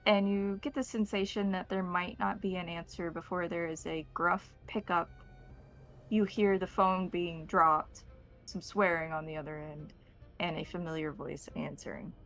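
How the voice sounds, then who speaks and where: An American woman narrates a tabletop game as its game master. Her voice is creaky and she sets each scene in measured phrases, with soft music in the background. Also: lowish voice, fairly even intonation. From United States.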